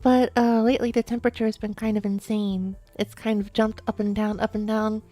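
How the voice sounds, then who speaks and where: A woman streaming a late-night chat, talking softly and quietly while sleepy, with many fillers and restarts. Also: medium pitch, level tone, fairly quick, soft background music. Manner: soft, quiet, drowsy talk with fillers.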